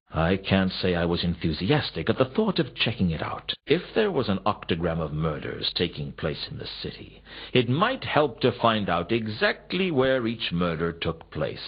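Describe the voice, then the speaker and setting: An actor playing a cynical, world-weary hard-boiled detective, narrating a noir video game in a deadpan, wry, husky voice. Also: male voice, low voice, exaggerated voice. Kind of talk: scripted first-person video game narration in film noir manner. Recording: dull lo-fi game audio.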